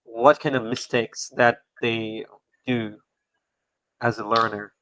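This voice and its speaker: Halting man hosting a live stream. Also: medium pitch, level tone, fairly bright tone, no echo, poor audio. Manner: halting, repeats words, non-native grammar. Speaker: host. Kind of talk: casual live-stream chat.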